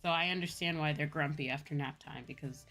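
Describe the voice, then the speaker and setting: A woman with a Midwestern American accent telling stories casually as a livestream host, in an animated, chatty manner. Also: low-pitched, fast talker. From Midwestern United States. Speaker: streamer and VTuber host. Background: background music.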